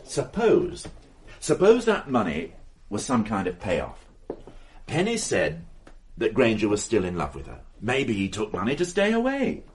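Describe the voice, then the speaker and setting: Insistent detective, very animated voice. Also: male voice, lowish voice, measured pace, husky, heavily acted. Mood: musing, deductive. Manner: emphatic, insistent, reasoning aloud. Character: dogged male police detective, excitable, sure of his theory. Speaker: investigator. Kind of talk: radio mystery drama acting.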